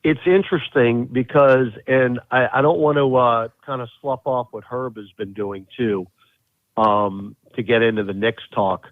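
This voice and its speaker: A man's voice: mid-range voice, measured pace, no echo. A man giving casual, emphatic sports analysis as a play-by-play announcer guesting on a podcast, repeating phrases for stress. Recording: thin, narrow phone-like line.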